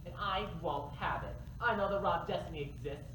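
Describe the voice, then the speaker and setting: A woman's voice: on the low side, brisk, close to an everyday voice. An actress playing a pompous, petulant young king who declaims in mock-archaic language in a staged comic fantasy. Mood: indignant. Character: self-deluded young king, pompous, petulant, stubborn dreamer. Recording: echoey, distant, noisy stage recording.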